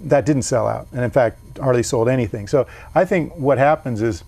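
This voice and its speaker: Guy artist talking slow and thoughtful, lots of restarts, mid pitch. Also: creaky, darkish tone, husky, no echo. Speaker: painter and guest. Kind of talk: casual interview.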